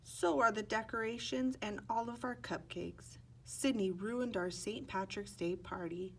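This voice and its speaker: A woman's voice: medium pitch, unhurried, slightly rough. A woman playing a young schoolgirl tattletale who complains and accuses in an aggrieved way. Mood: upset.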